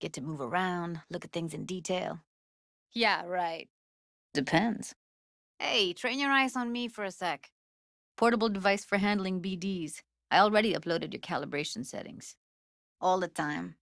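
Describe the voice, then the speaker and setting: A woman's voice: lowish voice, normal speed, gravelly, a bit bright, no room sound, clean studio sound. An actress playing a tough, candid young woman technician in a video game, talking in a casual, slangy, blunt way. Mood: businesslike, casual. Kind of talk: naturalistic game voice acting.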